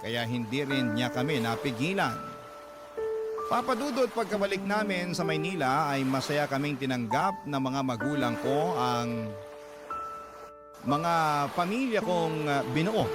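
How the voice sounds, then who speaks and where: Man voicing an earnest storyteller over loud music. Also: medium pitch, natural voice. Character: earnest young family man recounting his life. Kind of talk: first-person radio drama narration. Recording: loud music bed under narration.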